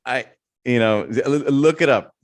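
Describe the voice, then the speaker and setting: A man co-hosting a podcast, talking hesitantly with many pauses and fillers. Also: mid-range voice. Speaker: podcast co-host. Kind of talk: casual podcast chat.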